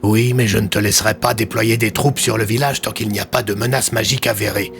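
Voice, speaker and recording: A man's voice: fairly low pitch, fairly even intonation, quick pace, creaky, a bit bright, soft background music. A man voicing a firm, courteous senior police commissioner in an audio drama, authoritative and formal.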